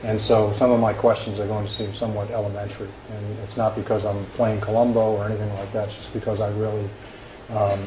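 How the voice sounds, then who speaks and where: A man questioning a witness in a formal deposition, halting and full of hesitations and restarts. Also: fairly low pitch, level tone, dark tone. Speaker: examining attorney. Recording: echoey room with background noise.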